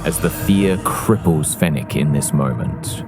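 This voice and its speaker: A low-pitched man runs a tabletop fantasy game as its game master. He tells the action in a theatrical, descriptive way, with music clearly playing behind him. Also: a little slow. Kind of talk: dramatic tabletop game narration.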